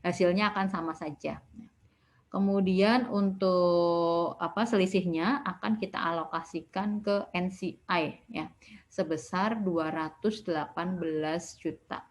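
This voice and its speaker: A woman's voice: on the low side, fairly even intonation, unhurried. A woman giving a recorded lecture as an accounting lecturer, explaining step by step in a didactic way with frequent short tag words.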